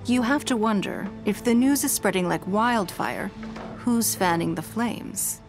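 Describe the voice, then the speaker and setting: A woman voicing a poised, wealthy businesswoman in a video game, speaking in a measured, composed and authoritative way. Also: medium pitch, lively intonation, music in the background.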